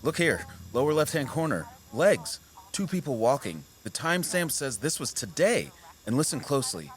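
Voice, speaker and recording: An actor playing a keen, suspicious amateur sleuth in a casual, excitable way in an audio drama. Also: male voice, mid-range voice, normal speed, natural voice. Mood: eager. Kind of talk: scripted audio drama dialogue.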